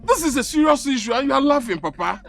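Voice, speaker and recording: A man's voice: very high voice, expressive, fast, vocal fry, a bit bright, soft background music, heavily acted. A man playing an exasperated young husband. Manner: pleading, exasperated. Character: agitated young husband complaining to his father.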